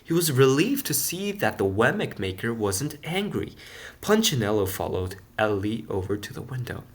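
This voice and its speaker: A man reading a children's story aloud with expressive, dramatic emphasis. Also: mid-range voice, normal speed. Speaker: storybook reader.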